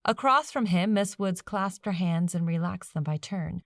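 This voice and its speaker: A woman's voice: on the low side, animated, normal speed, no room sound, studio quality. A woman reading a fiction audiobook as a scripted narration.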